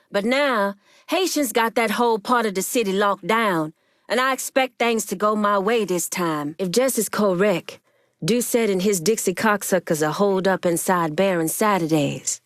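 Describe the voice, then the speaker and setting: A stern Haitian woman crime boss from a video game, acted by a woman, recorded dry. Her voice sits at a medium pitch. She is proud and shrewd, with a commanding, deliberate, street-tough delivery. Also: no echo, natural voice. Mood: measured, pointed. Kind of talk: video game character dialogue.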